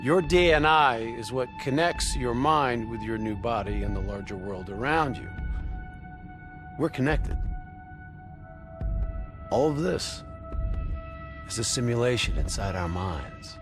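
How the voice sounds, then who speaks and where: A man's voice: medium pitch, unhurried, pauses often, music in the background, lightly acted. An actor playing a cocky male military operative, giving briefings with a wry, theatrical, profane edge in a video game. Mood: explanatory, firm. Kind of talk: game cutscene voice acting, expository briefing.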